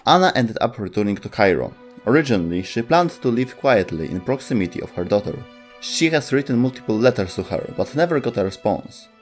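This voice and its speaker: A man with a fairly low voice reads scripted story narration as a narrator. Faint music plays behind him. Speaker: narrator of game lore video show.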